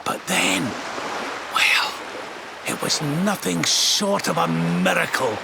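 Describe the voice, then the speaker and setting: A man playing a warm, excitable older companion in a game, telling things in a folksy, animated way. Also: sits high, takes it slowly, gravelly, background noise, exaggerated voice. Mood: excited, relieved. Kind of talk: scripted game cutscene acting. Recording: music behind the voice.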